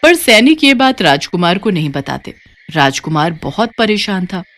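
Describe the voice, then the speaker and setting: Expressive woman narrating a moral tale. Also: lowish voice, moderate pace. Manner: expressive storytelling. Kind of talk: scripted storybook narration.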